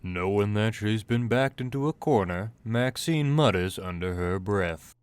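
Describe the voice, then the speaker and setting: A man's voice: fairly low pitch, animated, a little slow, smooth, no echo. A man reading scripted narration for a comedy audio drama.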